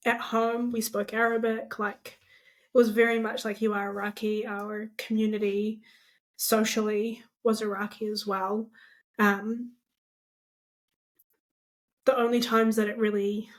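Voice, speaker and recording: A young woman with a New Zealand accent talking casually and candidly on a podcast, reflecting as she goes. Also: a little high. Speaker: podcast co-host. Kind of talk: casual conversational podcast talk.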